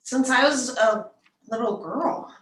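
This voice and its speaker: A woman's voice: fairly high pitch, slow. A woman acting a frightened, defensive alien abductee in a script table read, on a roomy, distant microphone. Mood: haunted, confiding. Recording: roomy, distant mic, noisy line.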